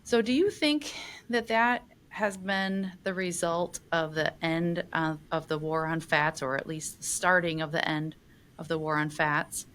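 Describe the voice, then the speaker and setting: Low-voiced woman podcast host. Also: fairly even intonation, a bit bright. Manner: measured, thoughtful questions with fillers. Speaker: podcast host, magazine editor. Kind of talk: interview questions.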